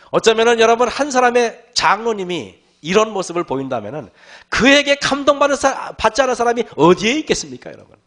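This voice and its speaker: Hoarse, high-pitched male pastor preaching. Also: a bit bright. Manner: earnest preaching, often calling out to the listeners and asking rhetorical questions. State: hoarse, often clearing his throat. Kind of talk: sermon to a congregation.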